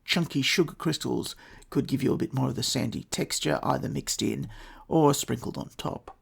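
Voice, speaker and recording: A man's voice: mid-range voice, fairly even intonation, moderate pace, gravelly, slightly rough. A man with an Australian accent hosting a podcast, giving a dry, matter-of-fact scripted talk. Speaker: podcast host. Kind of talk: scripted solo podcast narration.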